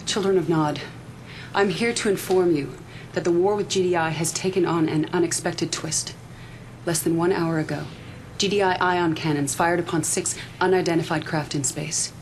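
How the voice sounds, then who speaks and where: An actress playing a resolute, defiant military faction leader in a video game cutscene, speaking formally and with measured authority. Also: female voice, fairly low pitch, fairly even intonation, a little hiss or hum, natural voice. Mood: grave, urgent. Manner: formal, measured briefing delivery.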